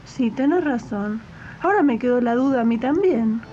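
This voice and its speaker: A woman's voice: a little high, lively intonation, normal speed, warm tone, highs cut off, slight background noise, close to an everyday voice. A woman acting a puzzled, accepting live-in maid in a radio drama, with an Argentine accent and a colloquial manner, on a late-1980s recording. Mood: doubtful, agreeable.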